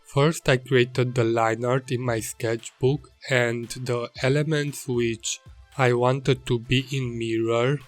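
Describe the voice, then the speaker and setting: A man's voice: mid-range voice, level tone, slow, soft background music. A man with a Hungarian accent, whose first language is Hungarian, narrating an art video in a careful, slightly formal way. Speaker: illustrator and channel host. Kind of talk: calm narrated art voice-over.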